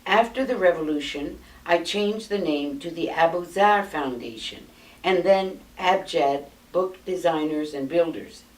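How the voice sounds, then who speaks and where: An American woman in her eighties, with a fairly low voice, reads her life story from notes in a measured, unhurried manner. She sits in a small room, and the camera's mic is some distance away, so her voice sounds roomy and distant. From United States. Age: 80s. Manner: measured, steady reading from notes. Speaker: memoir narrator. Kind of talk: reading a prepared life story aloud. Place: small indoor room, seated.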